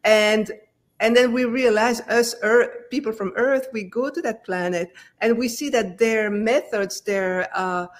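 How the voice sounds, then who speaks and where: A woman's voice: a little high, fairly even intonation, unhurried. A middle-aged woman from Belgium, a native French speaker with a French-speaking Belgian accent, telling her story as an interview guest, full of hesitations and restarts. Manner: hesitant, many fillers and restarts. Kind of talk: casual conversational interview.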